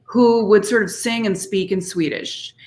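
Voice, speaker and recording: Slow-paced woman explaining as interview guest. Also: medium pitch, a little mellow. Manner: explanatory, demonstrates foreign words by example. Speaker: interview guest, singer and author. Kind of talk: conversational explanation of singing diction.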